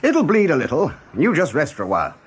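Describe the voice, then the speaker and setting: Swooping, husky rabbit captain. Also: male voice, a little high, wildly swooping pitch, heavily acted. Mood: jovially commanding. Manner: brisk, commanding, mocking joviality. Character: brisk male rabbit captain, genial yet menacing officer. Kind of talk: radio drama acting.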